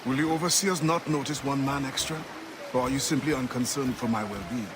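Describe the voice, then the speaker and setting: A man's voice: medium pitch, lightly acted. Man playing a proud sea captain, noisy surroundings. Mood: indignant. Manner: formal, measured. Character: proud, seasoned freed-slave sea captain, guarded and principled. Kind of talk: video game dramatic acting. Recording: noisy ambience behind game dialogue.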